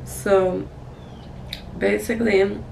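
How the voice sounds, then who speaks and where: A young woman talks casually and candidly to her viewers, wandering from thought to thought. Her voice is creaky and fairly even in pitch. The recording has clearly audible noise. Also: extremely slow. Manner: rambling, candid, apologetic. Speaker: vlogger. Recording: distant mic, clearly noisy room.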